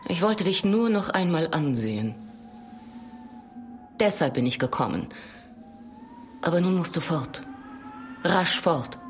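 A mournful young village woman in a gothic horror audio drama. Her voice is low for a woman, loving yet haunted and resigned to doom. Faint music sits behind her. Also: expressive, takes it slowly, pauses often. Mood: mournful, pleading.

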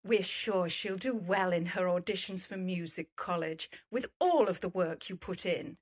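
Woman acting middle-aged mother, genteel, gracious, slightly raspy, radio play. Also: fairly low pitch, normal speed. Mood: grateful. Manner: polite, gracious. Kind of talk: audio drama acting.

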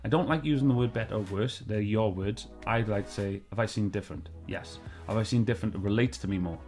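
Welsh man, middle-aged, low voice, rapid talk, rambling with fillers, home sofa chat. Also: a little mellow, soft background music. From Wales. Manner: rambling, repeats himself, many fillers. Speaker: host. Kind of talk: casual review chat. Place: living room, seated on a sofa.

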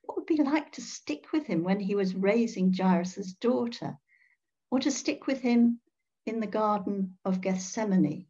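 An older woman giving a reflective sermon in a measured way, pausing often and posing questions to her listeners. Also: mid-range voice, dark tone. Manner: measured, with pauses and rhetorical questions. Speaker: priest preaching. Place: indoor room at home. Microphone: computer mic on a video call. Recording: dull, muffled video-call audio.

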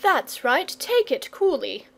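A woman voicing an eager, excitable young boy who speaks with urgent enthusiasm. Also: very high-pitched, slow pace, gravelly, voice pushed up, exaggerated voice. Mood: teasing.

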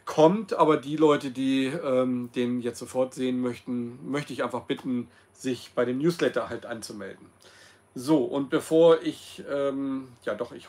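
A man in his fifties talking casually to viewers in a rambling way, full of ums and self-corrections, recorded on a laptop camera in a small room. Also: medium pitch. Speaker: host of his own channel. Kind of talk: casual livestream monologue. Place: small cosy room with red walls.

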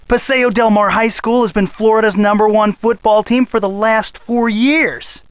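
Cocky high-pitched teen jock. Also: male voice, clean tone, no echo. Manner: boastful, swaggering. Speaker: video game voice actor. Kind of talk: scripted game dialogue.

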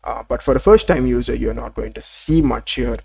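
A man presenting a screen-recorded software tutorial, talking casually with many fillers and small apologies. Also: medium pitch, a little fast, creaky.

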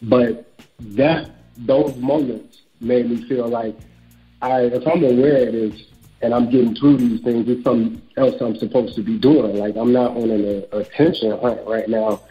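A man in his thirties talks candidly about himself in a relaxed interview, his voice sitting at an ordinary middle pitch. The audio is dull and lo-fi, with faint music playing underneath. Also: normal speed. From Chicago, USA. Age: 30s. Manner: candid, reflective, speeds up when quoting himself. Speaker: author and real estate broker. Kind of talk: casual interview conversation.

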